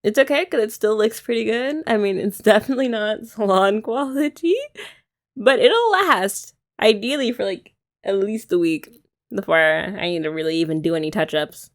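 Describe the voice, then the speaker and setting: A young woman doing a soft, teasing, affectionate ASMR girlfriend roleplay, often laughing, close to the mic. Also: medium pitch, moderate pace.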